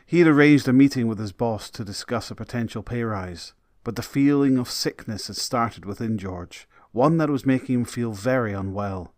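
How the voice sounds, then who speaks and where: A man's voice: medium pitch, normal speed, hardly any pauses, a little mellow, no room sound. Man narrating a dramatic story, scripted, mid voice, very dry recording.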